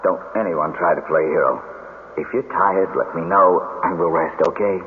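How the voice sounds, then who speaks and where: A man's voice: low-pitched, steady tone, moderate pace, natural voice. An actor playing a brave, take-charge young man in a vintage radio drama, firmly instructing the others. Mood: calm, reassuring. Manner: firm, instructing, commanding. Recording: tinny, noisy old radio broadcast.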